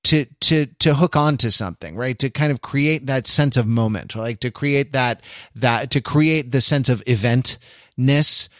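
An American man co-hosting a podcast in casual analytical discussion, wordy and intellectual, often restarting his sentences haltingly. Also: medium pitch, fairly bright tone, no echo, clean studio sound. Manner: hesitant, halting delivery with repeated false starts. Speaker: podcast co-host.

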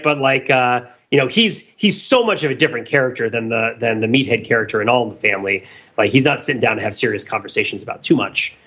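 A man's voice: mid-range voice, pushed and bright, muffled recording. A man talking through ideas on a podcast in a casual, analytical way, digressive and emphatic. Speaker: podcast host.

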